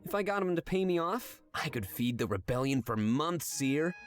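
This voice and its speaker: A man voicing a sly, flirtatious animal rebel in a video game, smooth-talking and confiding. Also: fairly high pitch, very animated, normal speed. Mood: scheming. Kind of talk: video game character dialogue. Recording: music behind the voice.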